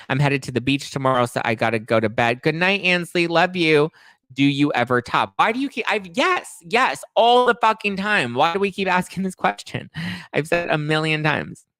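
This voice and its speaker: A man's voice: medium pitch, fairly bright tone, no room sound. A man in his late twenties hosting a live stream, reading viewer comments aloud and answering them in a candid, chatty way. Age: 20s. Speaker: podcast host reading live chat. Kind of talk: casual live-stream chat.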